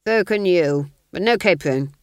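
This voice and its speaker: A woman's voice: on the low side, animated, moderate pace, a little mellow, smooth, no echo, studio quality, close to an everyday voice. A woman voicing a scheming middle-aged male duke who commands with falsely friendly, silky menace. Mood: silkily coaxing.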